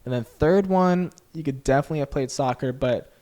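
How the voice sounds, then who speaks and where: A young man hosting a sports podcast, his voice at an everyday middle pitch. He talks loosely and chats along, questioning his guest in a friendly, rambling way. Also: brisk, warm tone. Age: young adult. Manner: chatty and easygoing, heavy on "like" and "you know". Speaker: podcast host. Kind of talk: casual interview.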